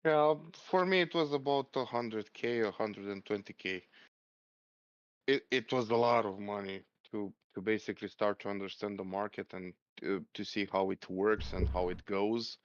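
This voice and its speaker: A man from Romania, chatting casually as a trader, halting and repeating phrases for emphasis. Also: on the low side, clear, resonant tone, dry recording. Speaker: trader taking part in a group voice chat. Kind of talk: casual chat, personal anecdote. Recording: dull, lo-fi voice chat audio.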